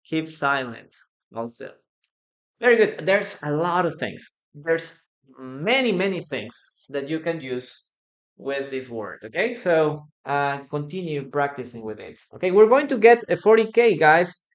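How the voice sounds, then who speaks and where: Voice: mid-range voice, big pitch swings. An English teacher whose first language is Spanish, giving an encouraging live online class and repeating phrases for the students. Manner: slow, careful delivery.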